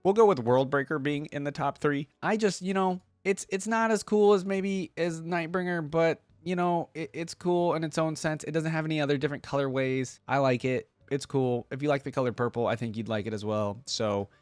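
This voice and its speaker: A man's voice: on the high side, brisk, hardly any pauses, no echo. A man hosting a reaction video, talking informally and thinking aloud as he comments and reads along. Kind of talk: casual reaction commentary, reading wiki text aloud.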